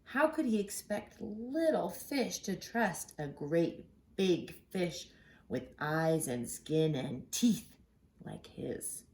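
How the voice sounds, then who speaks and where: A woman reading a children's picture book aloud expressively, with dramatic pauses and drawn-out words for emphasis. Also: on the low side, darkish tone. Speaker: children's storybook reader.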